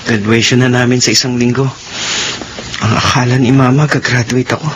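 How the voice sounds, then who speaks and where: A man's voice: mid-range voice, flat delivery, a little fast, over music, lightly acted. An actor playing Jimbo, a young son who failed his classes, in a radio drama. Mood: ashamed. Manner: hesitant, apologetic.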